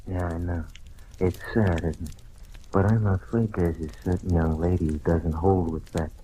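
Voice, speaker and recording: Low-voiced small-time blackmailer played by a male actor, 1940s-style radio noir, faint recording noise. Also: mellow, lightly acted. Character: small-time blackmailer, smug then desperately pleading for his life. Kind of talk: old-time radio detective drama acting. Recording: noisy old radio recording.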